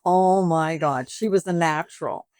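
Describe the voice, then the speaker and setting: A woman's voice: lowish voice, a little slow, a little mellow, smooth, dry recording, studio quality. A woman in her seventies, a boutique owner, chatting animatedly in an interview and acting out conversations with customers. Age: 70s.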